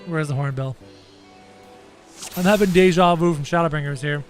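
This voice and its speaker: A man's voice: a little high, normal speed, soft background music. A man livestreaming a video game with casual, joking, exclamatory commentary, thinking aloud as he chats with viewers. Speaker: live game streamer.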